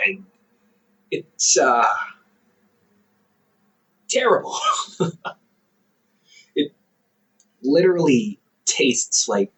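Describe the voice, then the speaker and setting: A man's voice: mid-range voice, a bit bright, far from the mic, rough recording. A man voicing a caring, goofy older brother in an audio roleplay, playful and chatty, laughing often.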